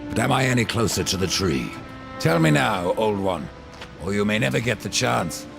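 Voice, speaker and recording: A man's voice: on the low side, expressive, moderate pace, gravelly, over music. A man acting a grim horseman in a video game, with a dry, sardonic, menacing way of speaking.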